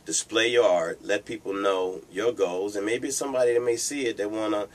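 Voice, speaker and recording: Fairly low voiced guy hosting a chat, encouraging, keeps saying you know. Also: normal speed. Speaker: host. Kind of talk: interview host chat.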